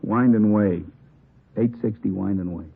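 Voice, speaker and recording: An actor playing a wary, bargaining captured gangster in a vintage radio crime drama. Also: male voice, lowish voice, a little slow, creaky, slightly rough, heavily acted. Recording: tinny old radio recording.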